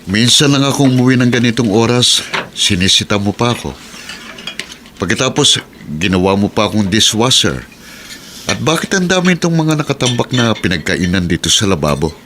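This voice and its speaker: A man's voice: fairly low pitch, sing-song, normal speed, fairly bright tone. An actor playing a weary, nagging husband and devoted father in a Filipino radio drama, grumbling with a Filipino accent. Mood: exasperated. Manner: grumbling, reproachful. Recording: noisy radio drama recording.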